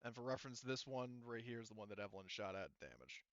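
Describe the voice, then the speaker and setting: A man's voice: mid-range voice, expressive, fast, a bit bright, no echo, lo-fi sound. A man acting as game master, casually narrating a tabletop game and talking through the rules.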